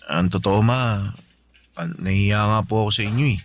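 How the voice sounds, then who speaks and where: An actor playing a polite, devoted teenage son in a Filipino radio drama, speaking respectfully, earnestly and with some hesitation. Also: male voice, fairly low pitch, moderate pace, slight background noise, natural voice. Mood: bashful. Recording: music bed under the voice.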